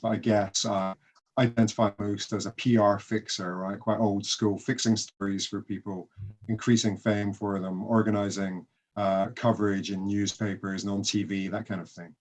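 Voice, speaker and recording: A Scottish man, a guest author speaking in a measured, matter-of-fact way in a casual interview. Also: on the low side, flat delivery, a little mellow. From Greenock, Scotland.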